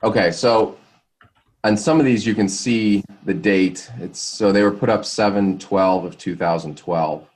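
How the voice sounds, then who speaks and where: A man with a deep voice presents a product on a conference call. His delivery is informal and halting, with hedges and reworked phrases. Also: darkish tone.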